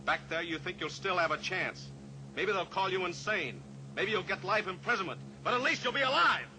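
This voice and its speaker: A steely cowboy pilot hero in a vintage radio play. He speaks calmly, with a slightly raised pitch and measured taunts. Audible hiss from the old recording runs underneath. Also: exaggerated voice, low-quality recording. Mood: coolly taunting. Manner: calm, coolly taunting. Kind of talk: 1950s radio drama acting.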